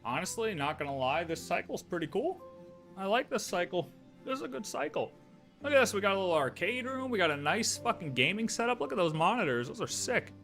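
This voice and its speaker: A man's voice: high-pitched, sing-song, a little fast, fairly bright tone. A man giving casual, laid-back, chatty commentary as a game streamer. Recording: game music behind the voice.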